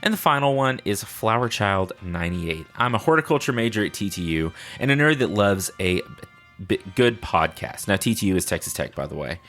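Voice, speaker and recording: A man's voice: fairly low pitch, animated, fairly quick, fairly bright tone, faint music behind. A man hosting a casual solo podcast, chatting informally and playfully. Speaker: podcast host.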